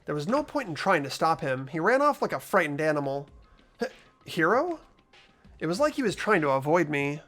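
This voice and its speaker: Earnest, worried teenage investigator for a game, played by a man: highish pitch, lively intonation. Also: normal speed. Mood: suspicious, puzzled. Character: earnest, anxious teenage boy narrating his investigation. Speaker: host of playthrough. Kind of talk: amateur game voice acting.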